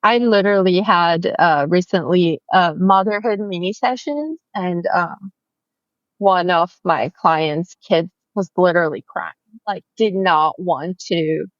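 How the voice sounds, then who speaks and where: Chatty Brazilian-accented woman, slow talker. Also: fairly low pitch, dry recording, muffled recording. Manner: friendly, chatty, many fillers and restarts. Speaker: family photographer, guest. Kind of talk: casual interview chat.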